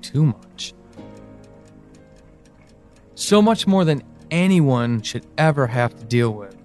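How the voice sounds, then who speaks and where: An actor playing an anguished, pleading, devoted man in an audio drama monologue, speaking haltingly and emotionally. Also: medium pitch, expressive, a little mellow, soft background music. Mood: apologetic, tender. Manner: halting, hesitant, emotional, with sighs and pauses.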